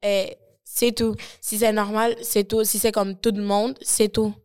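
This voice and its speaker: A woman's voice: medium pitch, level tone, measured pace, darkish tone, clean tone. Hesitant woman. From Colombia, Caribbean coast. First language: Spanish. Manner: hesitant, searching for words, many fillers. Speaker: discussion participant. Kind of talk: casual conversational answer.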